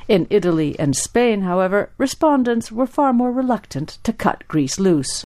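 A woman's voice: medium pitch, very animated, moderate pace, darkish tone. A woman reading scripted news reports as a radio newsreader.

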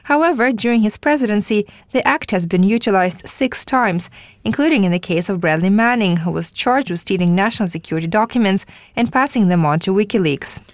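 Woman reading the news, measured. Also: mid-range voice, lively intonation, dry recording. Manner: measured formal delivery. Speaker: newsreader. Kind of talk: read news report.

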